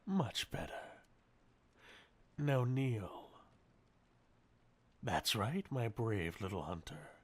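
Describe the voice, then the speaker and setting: A man's voice: mid-range voice, sing-song, drawn-out words, long pauses, breathy edge, full character voice. A man playing a sadistic, possessive centuries-old vampire master in an intimate ASMR roleplay, murmuring and whispering breathily with menacing condescension. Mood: commanding.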